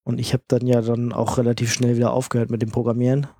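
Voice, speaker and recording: A man's voice: mid-range voice, monotone, fast talker, warm tone, no room sound, studio quality. A man in casual podcast chat who recalls things hesitantly, with many fillers.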